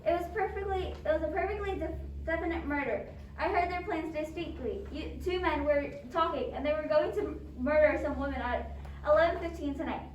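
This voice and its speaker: An anxious, self-absorbed invalid wife in a suspense play, acted by a woman, speaks in a high voice. She is fretful and insistent, stumbling over her words. The recording is roomy and echoing, with some noise behind her. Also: a little fast, highs cut off, lightly acted. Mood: agitated, insistent. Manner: agitated, stammering, insistent. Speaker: amateur drama club actor. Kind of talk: stage adaptation of a radio suspense play. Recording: roomy echo, distant mic, background noise.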